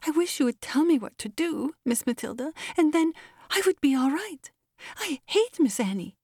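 A woman's voice: mid-range voice, animated, moderate pace, vocal fry, warm tone, slightly rough, no room sound, clean studio sound. A woman voicing a melancholy, resentful young woman servant in a story, trembling and plaintive. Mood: whimpering. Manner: whining, plaintive.

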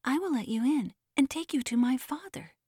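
Lady playing a little six year old boy, way higher pitch, eager and excited, bouncy. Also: normal speed, slightly rough, no room sound, clean studio sound. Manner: excited and bright.

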